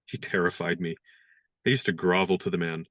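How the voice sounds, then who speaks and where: A man playing a wry young gentleman valet. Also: deep voice, steady tone, brisk, no echo. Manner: dry, good-humored and polite. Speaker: actor in radio play. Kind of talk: radio play acting.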